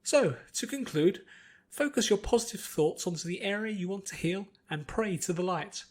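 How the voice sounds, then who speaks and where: A man voicing a formal, patient older priest who instructs a pupil in a measured way, in an audiobook story. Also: high-pitched, lively intonation, voice pushed up. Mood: instructive. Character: elderly male priest trainer, formal and patient. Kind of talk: dramatised audiobook character voice.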